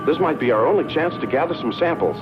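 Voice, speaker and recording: Explorer-scientist played by a man, 1960s sci-fi film: earnest, curious, very animated, muffled track with loud music. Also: fairly high pitch, fast, close to an everyday voice. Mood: awed. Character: curious, awestruck male space explorer-scientist.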